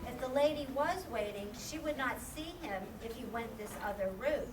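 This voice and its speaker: Female storyteller for a kids' puppet show: high voice, scripted narration, noisy distant recording. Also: moderate pace. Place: live performance in a room, far mic. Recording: distant, echoey room with clear noise.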